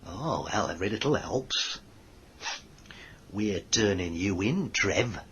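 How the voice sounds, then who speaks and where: An actor playing a rough, cowardly male street thug and bounty hunter with slangy speech in a science fiction audio drama. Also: lowish voice, expressive, slow pace, a bit bright, muffled recording, a little hiss or hum. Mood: bullying swagger.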